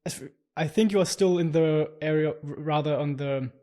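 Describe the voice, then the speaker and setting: A man hosting a podcast, summing up his guest's story in a halting way, repeating words as he goes. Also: on the high side, warm tone. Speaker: podcast host. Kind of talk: casual podcast chat.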